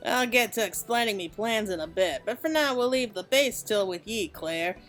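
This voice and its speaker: A woman voicing a brisk, enthusiastic craftswoman from a fantasy game in a folksy manner. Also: mid-range voice, lively intonation, faint music behind, natural voice. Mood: matter-of-fact.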